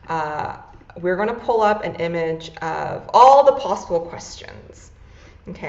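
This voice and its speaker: A woman moderating an online panel, upbeat and leaning on ums and uhs. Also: mid-range voice. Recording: roomy, dull lo-fi call audio.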